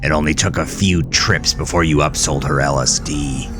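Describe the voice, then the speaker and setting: A man's voice: very deep voice, fairly even intonation, moderate pace. A man voicing an enraged, vengeful, grieving husband in anguished, accusing rants for a horror audio drama, with music behind him. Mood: bitter.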